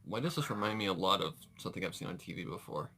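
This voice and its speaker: A woman's voice: rumbling low, animated, fast, vocal fry, a bit bright, a little hiss or hum. A woman doing casual reaction commentary as a video host, with exclamations and muttered asides.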